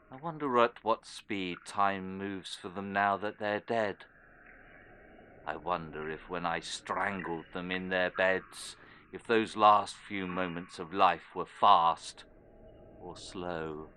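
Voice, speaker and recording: Deep, brooding immortal sea captain cultist. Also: male voice, slight background noise, full character voice. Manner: brooding, deliberate, trailing into quiet phrases. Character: immortal former sea captain turned cold, devout cultist. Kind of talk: dramatic scripted monologue, horror audio drama.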